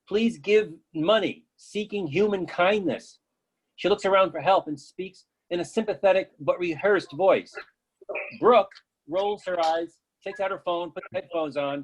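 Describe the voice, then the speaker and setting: Husky man reading stage directions. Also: sits high, rough recording. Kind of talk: scripted narration.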